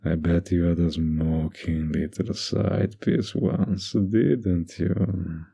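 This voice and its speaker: Man acting a slow, gravelly, flirtatious mafia boss. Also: sits low, steady tone, dark tone, heavily acted. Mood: provocative, flirty. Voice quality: gravelly, husky.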